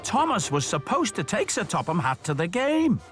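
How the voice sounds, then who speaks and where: Very animated, high-voiced male children's storyteller. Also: normal speed, music in the background. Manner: expressive, clearly enunciated for young children.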